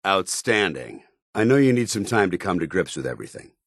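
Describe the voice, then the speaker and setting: Deep-voiced, stern military paladin. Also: male voice, sing-song, brisk, gravelly, no room sound, studio quality, heavily acted. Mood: formal, dutiful. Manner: formal, commanding, earnest military delivery. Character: stern, honor-bound male military paladin of a soldierly brotherhood. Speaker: voice actor. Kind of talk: video game companion dialogue.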